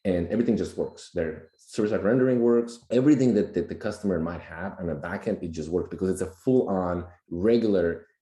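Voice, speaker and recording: A man with a slightly raspy voice from a recent cold, explaining things plainly and casually as a podcast guest. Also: deep voice, brisk, few pauses, mellow. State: just getting over a cold. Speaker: podcast guest, tech executive. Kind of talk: casual explanatory tech talk. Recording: roomy, echoey sound.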